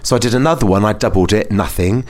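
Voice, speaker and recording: A man's voice: fairly low pitch, fast, darkish tone. A British man hosting a podcast in casual conversation, telling anecdotes in a chatty, self-deprecating way. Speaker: podcast host. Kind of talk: casual chat.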